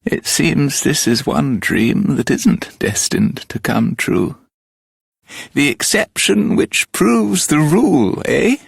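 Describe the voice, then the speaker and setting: A man's voice: fairly high pitch, animated, slow pace, vocal fry, breathy edge, no echo, studio quality, exaggerated voice. An actor with a British accent playing a genial, quaint townsman in a fantasy video game, chatty and theatrical. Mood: genial, musing. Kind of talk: video game character acting.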